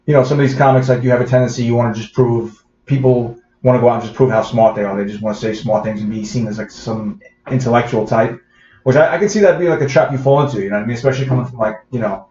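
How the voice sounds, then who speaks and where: A man's voice: medium pitch, fairly even intonation, quick pace, mellow, a little hiss or hum. A man with a Bronx New York accent, a comedian guest in a casual podcast interview, rambling with lots of fillers and restarts. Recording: roomy, dull podcast audio.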